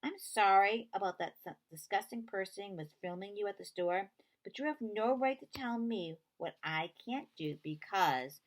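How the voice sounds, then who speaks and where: A woman reading a children's novel aloud, voicing a feisty, quick-tempered girl who is earnest and insistent with her friend. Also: mid-range voice, pitched above the performer's own voice, close to an everyday voice, rough recording. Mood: indignant.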